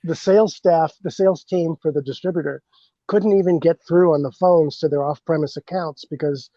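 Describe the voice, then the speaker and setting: A man's voice: on the high side, a little fast, mellow, no echo, muffled recording. A man from a bourbon brand on a podcast, talking through his marketing plans in a rambling way, with lots of fillers and restarts. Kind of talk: casual podcast chat.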